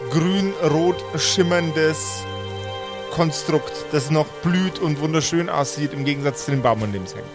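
A man with a fairly high voice acts as game master, describing scenes on the fly with searching pauses. Music and rain play behind him. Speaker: game master of a tabletop role-playing podcast. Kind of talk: improvised scene narration and casual table talk.

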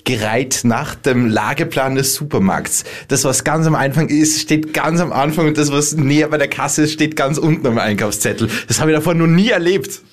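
A man's voice: a little high, normal speed, hardly any pauses. A man with an Austrian accent hosting a podcast, bantering emphatically in casual chat. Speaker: podcast host.

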